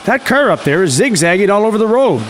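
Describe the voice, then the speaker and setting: A man's voice: high-pitched, very animated, quick pace. A man acting in a radio drama as a plain-spoken young fisherman. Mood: startled.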